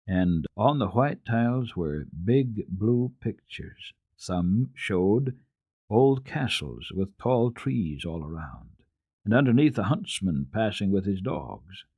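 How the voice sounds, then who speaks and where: A man reading a classic children's story aloud as a radio narrator, with expressive, deliberate phrasing and a storyteller's emphasis. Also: on the low side, husky, no echo, dull audio.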